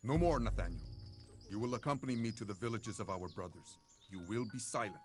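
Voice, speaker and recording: A man's voice: on the low side, fast, soft background music, natural voice. A man playing a stern, cautious older uncle who counsels his people in a game cutscene.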